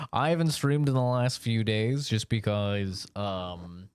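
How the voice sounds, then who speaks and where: A man's voice: on the low side, slow pace. A young man chatting casually while streaming a game, rambling and swearing in a laid-back way. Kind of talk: casual live-stream chat while gaming.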